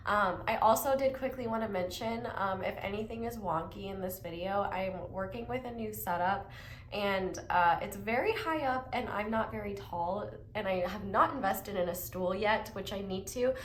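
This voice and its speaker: A woman's voice: mid-range voice, normal speed, slight background noise. A young woman getting over a cold, giving a chatty, upbeat tarot reading with lots of fillers. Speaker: tarot reader. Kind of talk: casual pick-a-card tarot reading. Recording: echoey room with faint ambience.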